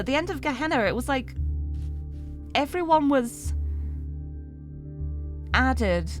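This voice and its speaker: Chatty British woman streamer, lively pitch. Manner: chatty, self-deprecating, rambling. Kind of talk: casual chat while gaming live.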